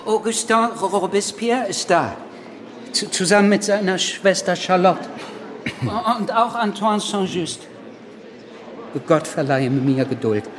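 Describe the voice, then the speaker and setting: A man's voice: high voice, lively intonation, slow, a little hiss or hum. A man playing a stammering, sardonic revolutionary gossip in a radio play, with a wry, needling manner. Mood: wry, gossipy. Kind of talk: radio drama dialogue.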